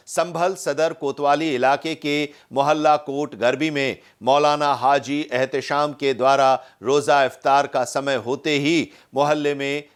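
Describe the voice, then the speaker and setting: News reporter, male, slightly high voice, formal scripted read. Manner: steady formal news reading.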